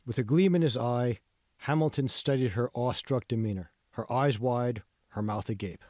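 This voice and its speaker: A man reads a novel aloud in a scripted audiobook style, at a medium pitch. The recording is dry and close, with very little room sound. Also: normal speed, mellow, no room sound. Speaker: author reading own audiobook. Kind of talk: scripted narration, audiobook reading.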